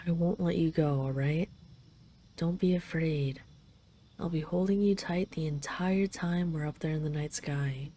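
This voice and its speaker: A woman's voice: lowish voice, dark tone, slight background noise, natural voice. A woman voicing a possessive yet tender dragon queen in an intimate roleplay audio, teasing and coaxing the listener. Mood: tender, reassuring.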